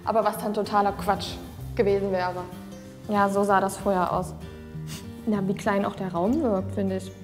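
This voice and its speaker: A woman's voice: medium pitch, steady tone, moderate pace. A 29-year-old woman chatting casually in a documentary, light and self-mocking as she talks. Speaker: reality documentary participant. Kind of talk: casual chat. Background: background music.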